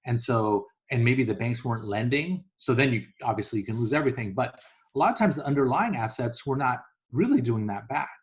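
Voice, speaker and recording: Man, medium pitch, investor interview guest, casual explanatory talk, conversational, illustrates with hypothetical quotes. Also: animated, fairly quick.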